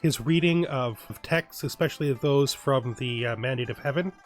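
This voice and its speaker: A man giving relaxed let's play commentary on a strategy game, thinking aloud and often restarting his sentences. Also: medium pitch, moderate pace, faint music behind. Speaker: gaming YouTuber.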